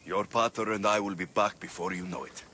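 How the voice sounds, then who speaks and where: An actor playing a steady, confident Ancient Greek mercenary hero in a video game cutscene. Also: male voice, sits low, fairly quick, slight background noise. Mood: matter-of-fact.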